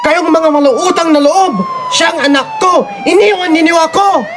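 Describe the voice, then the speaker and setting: A man's voice: extremely high pitch, moderate pace, background noise. A man acting a domineering, corrupt governor and father in a Filipino radio drama. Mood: enraged.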